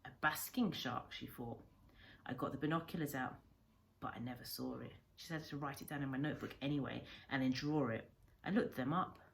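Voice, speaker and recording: A woman reading a storybook aloud like a primary teacher, with deliberate, expressive phrasing. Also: a bass voice, vocal fry, a little hiss or hum.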